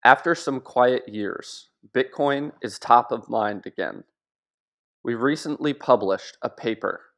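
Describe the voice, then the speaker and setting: A man narrating a written essay in a measured, even reading voice. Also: mid-range voice. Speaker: article narrator.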